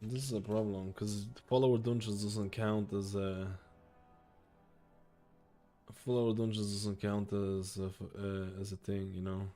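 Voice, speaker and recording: A man's voice: lowish voice, fairly even intonation, measured pace, mellow, faint music behind. Rambling young man streaming a game. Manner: rambling, joking, swears and says bro a lot. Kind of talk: casual gameplay commentary.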